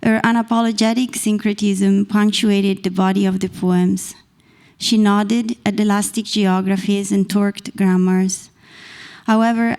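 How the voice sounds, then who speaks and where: Brief: Italian-accented woman in her thirties, poet reading measured verse, warm low tone with some fry. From Italy. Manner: measured, deliberate reading from a page. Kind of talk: slow poetry reading. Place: small stage in a dark performance venue. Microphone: stand mic close to the mouth.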